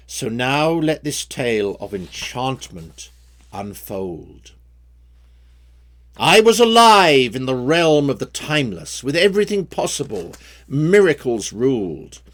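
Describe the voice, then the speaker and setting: A man reciting rhyming story poems as their author, with a measured, theatrical storytelling delivery. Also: medium pitch. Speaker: poet reading own poems.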